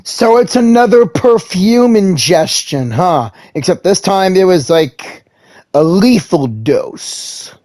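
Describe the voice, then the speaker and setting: A man's voice: sits high, expressive, slow pace, a little mellow. A man casually reading game dialogue aloud, slipping in slangy asides.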